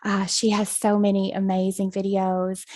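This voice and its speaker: A woman's voice: medium pitch, monotone, moderate pace, a little mellow. A woman from the United States, a guest in a video call interview who talks in a friendly, chatty way with many ums. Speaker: guest, hypnosis practitioner.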